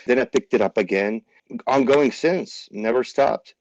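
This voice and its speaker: Animated man, dull dry sound. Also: mid-range voice, a little slow, no room sound. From Iraq. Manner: animated, with many fillers and restarts. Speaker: podcast guest, pro bodybuilder. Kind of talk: casual interview talk.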